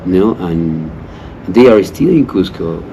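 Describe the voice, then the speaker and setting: Deep-voiced Peruvian man over background noise. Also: animated, a little slow, mellow, low-quality recording. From Cusco, Peru. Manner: repeats "you know" often. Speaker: local partner in conversation. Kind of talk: casual conversational explaining. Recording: clear background ambient noise.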